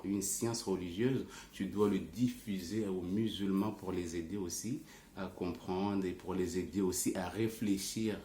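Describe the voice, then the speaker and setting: A man's voice: deep voice, darkish tone. A man who is not a native French speaker explains things informally to online followers as a religious teacher, often searching for words. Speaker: religious teacher and ruqyah healer. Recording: roomy echo, faint background noise.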